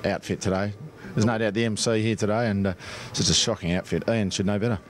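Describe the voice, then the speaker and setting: A man's voice: lowish voice, fairly even intonation, fast. An Australian man chatting casually as an interviewed golfer, blunt and self-deprecating. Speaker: amateur golfer being interviewed.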